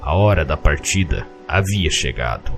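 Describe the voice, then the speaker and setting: A man with a Brazilian accent narrating a scripted fantasy story in a measured, literary way. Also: very deep voice, expressive. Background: music.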